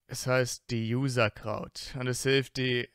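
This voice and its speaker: A man's voice: medium pitch, little pitch movement, slow pace, fairly bright tone, clear, resonant tone, no room sound, clean studio sound. A man playing a proud young blond wizard student with a secretly tender side in an amateur audio drama. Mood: matter-of-fact.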